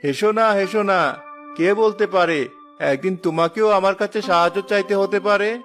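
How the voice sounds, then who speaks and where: A man's voice: high-pitched, a little fast, a bit bright, soft background music. A man voicing a stubborn, simple-hearted village husband in a cartoon, earnest and insistent. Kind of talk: cartoon voice acting.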